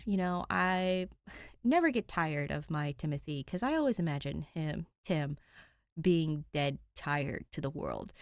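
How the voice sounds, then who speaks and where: A woman reading fan fiction aloud and chatting to listeners in an expressive way. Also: deep voice, no echo, studio quality. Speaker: fan fiction podfic reader. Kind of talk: story narration and casual chat to listeners.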